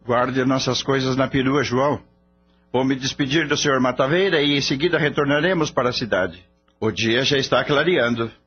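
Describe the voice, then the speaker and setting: A man's voice: medium pitch, normal speed, soft background music, close to an everyday voice. A man playing a skeptical, scornful veterinarian boss in a radio drama, blunt, mocking and lecturing. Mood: bossy, stern. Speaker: voice actor. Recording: dull lo-fi audio, faint hum.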